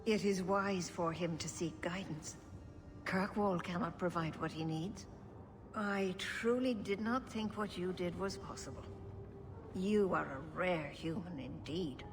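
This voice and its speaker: An actress playing a calm, dignified older elven keeper who speaks slowly and formally. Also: female voice, lowish voice, in a large hall, over music. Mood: grave, grateful. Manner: slow, measured and formal. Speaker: elf clan keeper.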